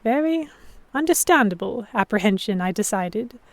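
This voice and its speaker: Composed captive heroine telling her pirate story, woman acting it, measured and dramatic, medium pitch. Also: animated, dry recording, lightly acted. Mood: measured, tense. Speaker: narrator and lead actor. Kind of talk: scripted first-person audio drama narration.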